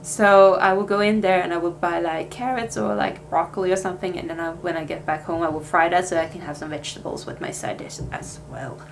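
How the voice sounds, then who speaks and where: A woman's voice: lowish voice, steady tone, a little fast, gravelly, few pauses, slight background noise, low-quality recording. A young Swedish woman with a Swedish accent, chatting casually in a life update vlog, with plenty of fillers and restarts. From Sweden. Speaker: vlogger.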